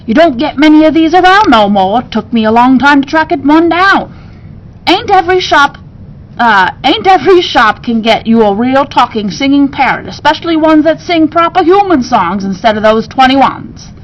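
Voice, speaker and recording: A woman's voice: high voice, expressive, moderate pace, highs cut off, a little hiss or hum, voice pushed up. A woman voicing a chatty, protective male bird shop keeper from a storybook, with folksy, ungrammatical speech. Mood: earnest, insistent.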